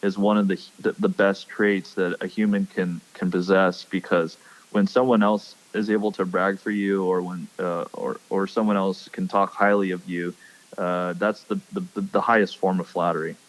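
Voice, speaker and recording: A young man from Hawaii, a pro angler, chatting as a podcast guest and speaking haltingly with many fillers. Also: low voice, flat delivery, low-quality recording. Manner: hesitant, many fillers and restarts. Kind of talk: casual podcast chat.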